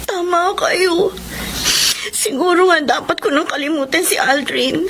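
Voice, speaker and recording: Very high-voiced, wounded young sweetheart. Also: female voice, unhurried, fairly bright tone, a little hiss or hum, full character voice. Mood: heartbroken. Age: young adult. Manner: emotional, plaintive. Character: wounded, proud young sweetheart, resolved to rise. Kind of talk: Filipino radio romance drama acting. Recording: music behind the voice. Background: music.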